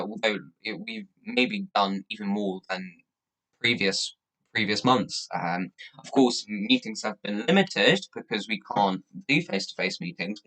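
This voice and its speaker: Low-voiced young man hosting a livestream. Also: bright, forceful tone, poor audio. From Latvia. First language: Russian. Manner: rambling, halting. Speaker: livestream host and youth charity campaigner. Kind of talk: casual livestream chat, thanking viewers.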